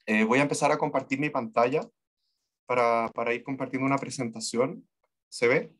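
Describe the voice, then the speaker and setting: A man with a Chilean Spanish accent giving an online presentation to students, with somewhat hesitant, halting phrasing. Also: mid-range voice, fairly even intonation, fairly bright tone. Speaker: teacher trainer giving an online talk. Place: remote online video call from Santiago.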